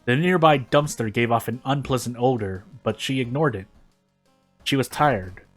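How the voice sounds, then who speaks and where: A man's voice: medium pitch, normal speed. A man reading prose fiction aloud in a dramatic narrating style. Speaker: fan fiction reader. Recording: music behind the voice. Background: background music.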